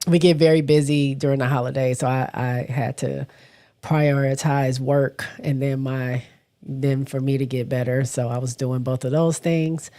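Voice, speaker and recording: Raspy woman host fighting off a cold, stuffy and hoarse, halting delivery with frequent throat-clearing, casual live chat with viewers. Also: rumbling low, steady tone, dark tone. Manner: halting, often stops to clear her throat. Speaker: livestream host. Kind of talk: casual livestream chat, reading viewer comments.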